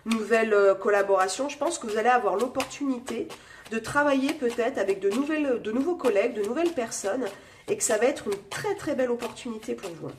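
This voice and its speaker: High-voiced woman with a stuffy nose. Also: a little fast, a little hiss or hum. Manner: chatty, many fillers, addresses viewers directly. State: has a cold, nasal voice. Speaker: tarot reader. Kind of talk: casual tarot reading.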